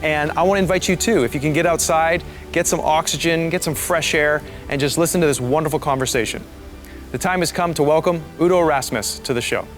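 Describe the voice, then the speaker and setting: A man's voice: a little high, fairly quick, soft background music. A man with a Canadian accent hosting a podcast, chatting in a friendly, enthusiastic and affirming way. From Canada, west coast. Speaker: podcast host. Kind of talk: friendly casual interview chat.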